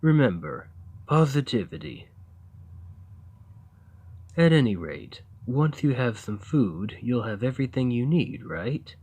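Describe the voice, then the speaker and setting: Male actor as friendly cave-dwelling giant for ASMR roleplay: very slow delivery, mid-range pitch, awkward, naive, earnest. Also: lively intonation, gravelly, breathy edge, heavily acted. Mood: naive, puzzled. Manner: hesitant, earnest, gently upbeat, slow. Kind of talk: ASMR roleplay, acted monologue to the listener.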